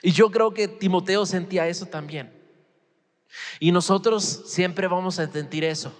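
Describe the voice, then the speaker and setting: A man's voice: high voice, normal speed. A man in his forties, a native English speaker, preaching as a pastor in an informal, conversational way, acting out bits of dialogue and putting questions to his listeners. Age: 40s. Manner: informal, acts out imagined dialogue, asks the listeners rhetorical questions. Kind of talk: conversational expository sermon in Spanish as a second language. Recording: reverberant hall with long echo.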